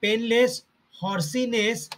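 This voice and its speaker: A man slowly reading out homeopathy study notes in short, halting fragments. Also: very high voice, steady tone, low-quality recording.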